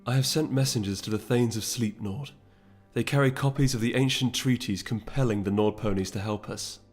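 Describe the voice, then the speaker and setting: A man voicing a composed, weary pony princess with measured, formal speech in a fantasy audiobook. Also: mid-range voice, faint music behind, close to an everyday voice. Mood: calm. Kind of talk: dramatic audiobook character voice.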